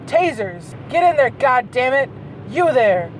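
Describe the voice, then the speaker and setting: An excited, commanding male hunter from a story snaps out orders full of curses, as a woman reads him. The recording has clear car noise behind the voice. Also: sits high, a little slow, poor audio. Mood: urgent, commanding. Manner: shouted commands, cursing.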